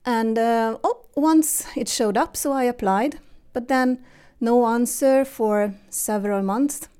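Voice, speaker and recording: Hungarian woman in her forties, warm dark tone, unhurried and halting, interview guest. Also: a little high, smooth. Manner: hesitant, many fillers and restarts. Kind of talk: casual interview conversation.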